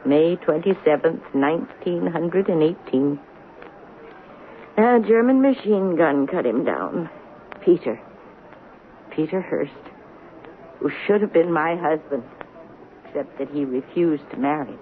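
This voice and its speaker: An actress playing an elderly, pious and romantic spinster in a 1970s radio drama, speaking precisely and reflectively with gentle firmness. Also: female voice, deep voice, lively intonation, unhurried, long pauses. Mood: wistful. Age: older. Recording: narrow, noisy old radio broadcast.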